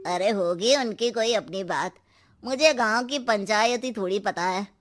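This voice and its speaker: A woman's voice: medium pitch, measured pace, over music, natural voice. A woman voicing evasive village men in cartoon story dialogue, with a casual, explanatory manner. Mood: dismissive. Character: evasive village men and elders brushing off questions.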